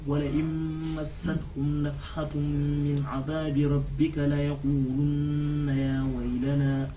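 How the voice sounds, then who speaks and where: Sex: male. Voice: very dark, monotone. Pace: slow. Role: student reciting. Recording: roomy echo. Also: fairly high pitch, a little hiss or hum. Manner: drawn-out melodic chanting.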